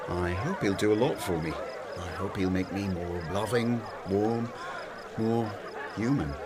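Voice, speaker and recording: An earnest, gentle host speaking calmly and sincerely in a radio drama, played by an actor. His voice sits on the low side. Loud hiss runs underneath. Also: a little slow, lightly acted.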